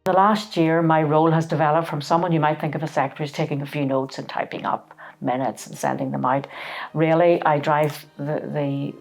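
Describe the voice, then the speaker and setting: A woman with a very low voice describes her volunteer work briskly in a documentary interview, correcting herself as she goes. Faint music plays behind. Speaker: charity volunteer and van driver.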